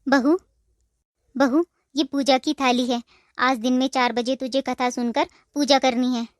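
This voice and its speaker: A woman voicing a caring middle-aged mother-in-law in a cartoon, speaking in a warm, reassuring, motherly way. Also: sits high, fairly even intonation, unhurried, no room sound, clean studio sound. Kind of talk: Hindi cartoon dubbing.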